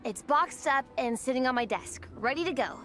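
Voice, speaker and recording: A woman's voice: high-pitched, lively intonation, normal speed, fairly bright tone. A woman voicing an eager, helpful young girl in a video game, with game music behind her. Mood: friendly, keen. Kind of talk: video game dialogue and combat barks.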